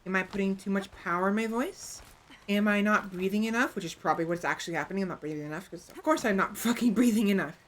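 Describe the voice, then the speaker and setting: A woman streamer chatting casually in a soft, hesitant voice with a gravelly vocal fry, talking close to her microphone. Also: medium pitch, fast, a little hiss or hum. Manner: soft, hesitant, self-reflective, many restarts. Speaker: VTuber streamer. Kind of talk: casual chat while livestreaming a game. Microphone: close desk mic.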